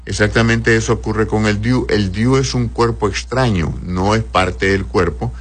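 A man's voice: fairly low pitch, steady tone, unhurried. A male doctor answering listeners' health questions in a patient, explanatory way, often checking "right?" and calling patients "my love".

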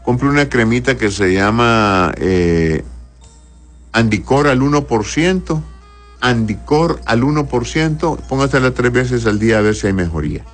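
A man's voice: mid-range voice, lively intonation, unhurried, faint music behind. A man with a Honduran accent, a doctor who reads out health questions and answers them informally, often repeating and rephrasing as he explains. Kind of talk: informal medical advice, reading questions aloud.

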